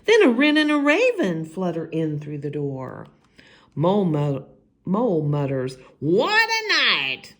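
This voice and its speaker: A woman's voice: sits low, big pitch swings, a little slow. A woman reading a picture book aloud for children in a theatrical way, with whispered asides and stretched-out refrains. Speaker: children's story time reader.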